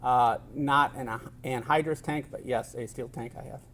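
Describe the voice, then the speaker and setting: A middle-aged man giving expert testimony in court, answering in a measured, technical way with frequent hesitations and a formal, polite manner. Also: medium pitch, fairly even intonation, fairly bright tone. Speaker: expert witness.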